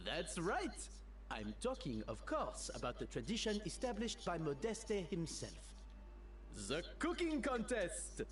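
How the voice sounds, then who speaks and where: A man voicing a theatrical, jovial gentleman in a video game, with grandiloquent, flowery speech and a mischievous streak. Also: a little high, sing-song, measured pace. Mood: grandly announcing.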